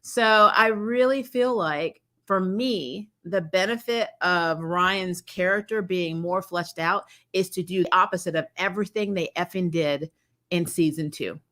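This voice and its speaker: Female reviewer, lowish forceful voice, casual and emphatic, unfiltered opinions with the odd curse. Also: measured pace. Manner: blunt, mildly sweary. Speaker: host of a fan review channel. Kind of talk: casual opinionated trailer commentary.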